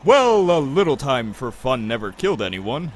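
A man's voice: mid-range voice, very animated, moderate pace. An actor playing Clayton's part in an audio drama, a talkative, good-humored male drug dealer with a teasing, folksy manner. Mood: cheerful boasting.

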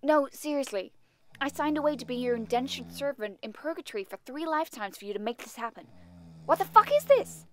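A woman's voice: high voice, expressive, a little fast, fairly bright tone. An actress playing an impatient, lovestruck young female ghost in an audio play, sceptical and pleading in manner. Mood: exasperated.

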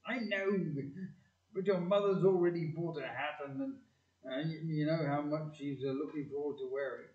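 Earnest, faltering dad for community audio drama, played by a man; higher-pitched voice, echoey room. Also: darkish tone, close to an everyday voice. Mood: reassuring. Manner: hesitant, halting, earnest. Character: gruff, tender working-class father to a grown daughter. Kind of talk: amateur community audio play. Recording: distant, echoey, dull hall recording.

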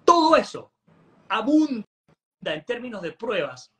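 Emphatic high-voiced man. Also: sing-song, measured pace, a bit bright. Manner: emphatic, repeats words when restarting. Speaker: political analyst guest. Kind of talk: animated political commentary. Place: home room indoors. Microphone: video call webcam.

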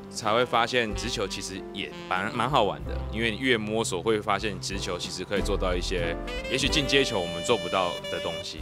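Low-voiced man, earnest student. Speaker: bowling student. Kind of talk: self-introduction and interview. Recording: loud background music.

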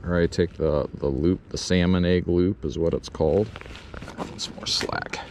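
A man's voice: low-pitched, noisy background. A man in his thirties explaining a bait rig and narrating his fishing from a pontoon boat outdoors, recorded on a chest-mounted action camera. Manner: slow, easygoing instruction turning excited when a fish bites. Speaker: fishing vlogger. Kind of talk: casual how-to narration while fishing.

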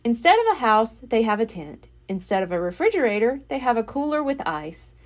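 A woman reads a children's picture book aloud. Her voice sits fairly high and swings widely in pitch, giving the narration an expressive, animated shape. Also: fairly quick. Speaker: storybook reader.

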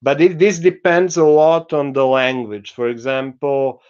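A middle-aged man delivers a university lecture at an unhurried pace, pausing on fillers as he explains. His voice is smooth and clear, though the video call audio sounds dull and lo-fi. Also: medium pitch, little pitch movement, darkish tone. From Croatia. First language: Croatian. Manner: slow, explanatory, with frequent fillers. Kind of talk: recorded online lecture. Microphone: video call webcam.

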